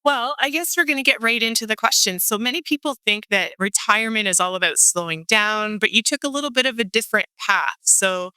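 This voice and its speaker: A woman's voice: fairly high pitch, lively intonation, a little fast, few pauses, pushed and bright, smooth, dry recording, poor audio. Upbeat woman hosting a podcast. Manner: upbeat, reads intro then chats loosely. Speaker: podcast host. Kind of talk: podcast interview, scripted intro.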